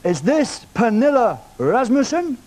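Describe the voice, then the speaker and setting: A man's voice: very high voice, big pitch swings, measured pace, darkish tone. A man hosting a live TV show, teasing a caller on the phone with cheeky questions. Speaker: TV presenter. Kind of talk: live TV prank phone call. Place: TV studio.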